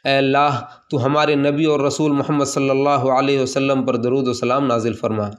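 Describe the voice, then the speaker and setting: A man reading a translated Friday sermon aloud in a formal, steady recitation, addressing the listeners as servants of God. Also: mid-range voice, normal speed.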